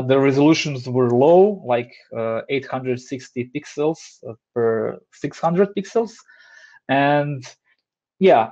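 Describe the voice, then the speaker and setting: A man in his thirties speaks English with a Croatian accent, easygoing and halting, with plenty of "uh"s. His voice comes through a headset on a video call. The sound is a bit dull and lo-fi. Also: mid-range voice. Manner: relaxed, hesitant with many fillers. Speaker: design lead giving a talk. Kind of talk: online presentation. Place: home room, indoors.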